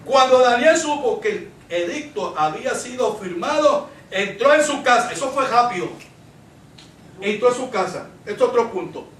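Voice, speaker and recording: A man's voice: very high-pitched, lively intonation, normal speed, fairly bright tone, slight background noise. A man with a Puerto Rican Spanish accent preaching a Bible-study sermon, emphatic and repetitive, putting questions to his congregation. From Puerto Rico. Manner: emphatic, repeats phrases, asks the congregation rhetorical questions. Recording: roomy echo, distant microphone.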